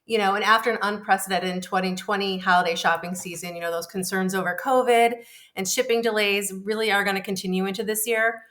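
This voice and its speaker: A woman's voice: medium pitch, fairly even intonation, fast, fairly bright tone. A woman columnist giving conversational, explanatory answers as an interview guest.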